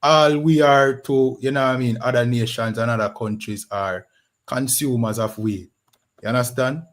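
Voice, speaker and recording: A man's voice: mid-range voice, fairly even intonation, moderate pace. A man with a Jamaican accent hosting a podcast in a casual talk-show style, often saying "you know what I mean". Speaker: podcast host.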